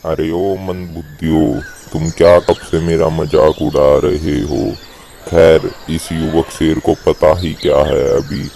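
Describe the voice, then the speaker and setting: A very deep, gravelly old lion king acted by a man, shrewd and wise. He speaks in a flat, steady line for a cartoon fable, with faint noise behind him. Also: slow pace, full character voice. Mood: scornful. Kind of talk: Hindi animated moral story dialogue.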